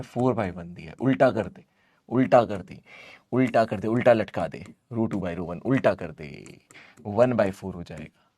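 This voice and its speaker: A man with a hoarse voice teaches an informal live online maths class, teasing and scolding his students and repeating phrases for emphasis. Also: on the low side, a little mellow. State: hoarse, sore throat.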